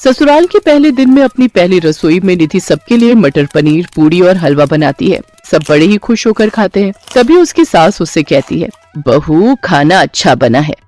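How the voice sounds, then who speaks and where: A man's voice: sits high, very animated, moderate pace, few pauses, darkish tone, dry recording. A man narrating a scripted moral story, with music playing behind him. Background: background music.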